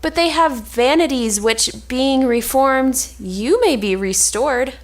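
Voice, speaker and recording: Woman reading as slow-paced, scolding sensible friend. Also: sits high, animated. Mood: chiding. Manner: reproving, formal reading of archaic lines. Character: sensible, scolding male friend of a lovesick nobleman.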